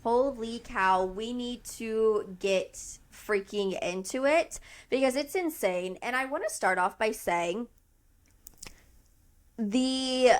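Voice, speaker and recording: A woman in her twenties co-hosting a podcast, chatting casually and playfully, often chiming in to agree. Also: on the high side. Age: 20s. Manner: quick agreeing back-channels, playful. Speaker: podcast co-host. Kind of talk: casual chat.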